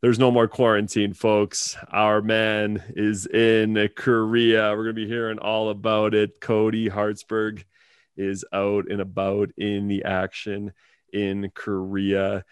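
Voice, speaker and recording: A man's voice: lowish voice, completely flat delivery, slow pace, clear, resonant tone, no echo, clean studio sound. A man in his thirties hosting a casual podcast, rambling with lots of fillers and easy laughs. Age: 30s. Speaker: podcast host.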